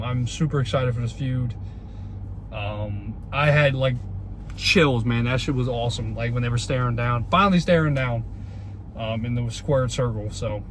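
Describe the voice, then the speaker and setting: Congested young man, excitable wrestling vlogger. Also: mid-range voice, a little hiss or hum. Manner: excitable, repeats rhetorical questions, many fillers. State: congested from a cold. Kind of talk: casual solo opinion vlog.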